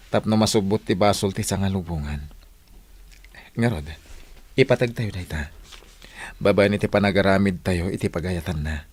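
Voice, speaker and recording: A man's voice: on the low side, a little mellow. A man with an Ilocano accent acting a humble, devout family man in a radio drama. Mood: earnest, devout.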